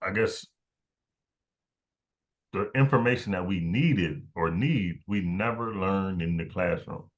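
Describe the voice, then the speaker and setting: A man with a low, deep voice talks as a podcast host in a casual interview. His delivery is halting, and he often repeats words while he works out his thought.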